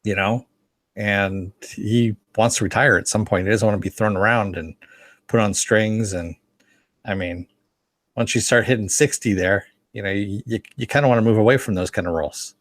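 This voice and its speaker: A man's voice: lowish voice, moderate pace, dry recording. A man co-hosting a casual discussion and responding to comments. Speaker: co-host. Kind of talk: casual chat, reading viewer comments.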